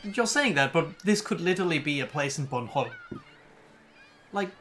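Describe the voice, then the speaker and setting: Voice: mid-range voice, animated, normal speed, a bit bright, a little hiss or hum. A streamer with a Danish accent chatting curiously and laughing often while playing a video game. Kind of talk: casual let's-play chat.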